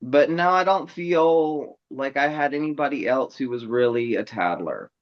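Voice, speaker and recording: A man in his thirties telling stories in casual chat, animated and laughing often, acting out other people's lines. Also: medium pitch, normal speed, lo-fi sound. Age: 30s. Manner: animated, laughs often, mimics voices in quotes.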